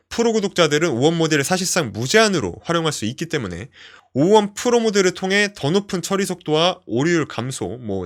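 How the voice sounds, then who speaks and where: A man presenting an instructional tutorial, explaining things step by step in simple terms. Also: fairly high pitch. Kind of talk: instructional screen walkthrough, explanatory.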